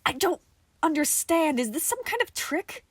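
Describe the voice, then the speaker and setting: A woman's voice: high voice, sing-song, moderate pace, no room sound, pitched above the performer's own voice. A woman voicing a bewildered, demanding female mannequin henchwoman in an audio horror story. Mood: confused. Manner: demanding, insistent.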